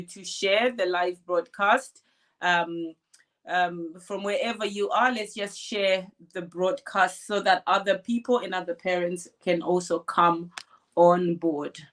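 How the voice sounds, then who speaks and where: A woman co-hosting a live online show on a video call. She sounds cheerful and chatty, at a medium pitch, and warmly welcomes viewers. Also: fairly even intonation, unhurried. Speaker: co-host.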